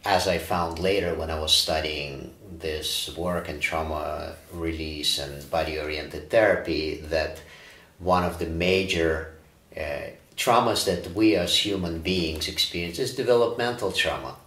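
A man in his forties from Ukraine, a breathwork teacher, answering interview questions in a calm, reflective way with frequent fillers. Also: extremely low pitch, measured pace. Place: bright studio room, indoors. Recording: roomy studio echo.

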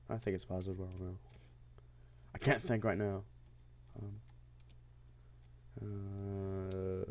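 Congested man yelling over a game. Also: on the low side. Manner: laughs often, yells at failures. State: congested, stuffy-sounding. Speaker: gameplay commentator. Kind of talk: casual let's-play commentary.

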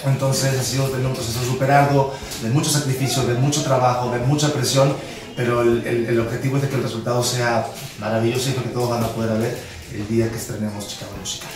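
A man's voice: mid-range voice, level tone, fast. A man in his thirties from Ecuador, an actor talking earnestly in an interview, listing points and repeating words as he goes. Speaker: musical theatre actor. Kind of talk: casual interview chat. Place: office room with glass wall, seated on chairs. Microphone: far camera mic. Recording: very echoey room from far off.

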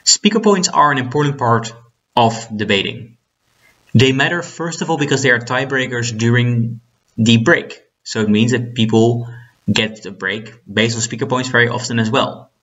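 A man in his twenties from the Netherlands with a husky voice, giving an instructional talk as a debate trainer, stressing his key points. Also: mid-range voice, normal speed, a bit bright. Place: small plain room indoors. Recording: dull lo-fi webcam sound.

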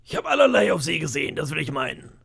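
A man's voice: a little high, big pitch swings, fast, vocal fry, fairly bright tone, breathy edge, pitched above the performer's own voice, exaggerated voice. A man voicing a cunning old pirate cook in an audiobook, wheedling and boastful with flashes of menace. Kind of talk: audiobook character voice.

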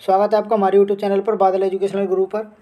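A man's voice: high-pitched, completely flat delivery, fast, mellow. An Indian man hosting an educational update channel, talking informally through a screen-share tutorial and repeating himself with frequent check-in tags. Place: voice-over on a phone screen recording.

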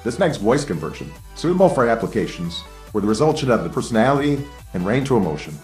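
Lively low male voice, roomy. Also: quick pace, faint music behind. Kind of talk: explanatory demo speech. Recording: roomy echo.

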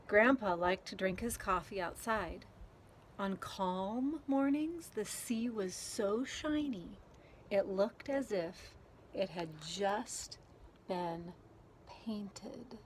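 A woman reading a children's picture book aloud outdoors in a gentle, deliberate way, pausing between phrases. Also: medium pitch, animated. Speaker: story reader. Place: outdoors, garden. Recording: clear outdoor background noise.

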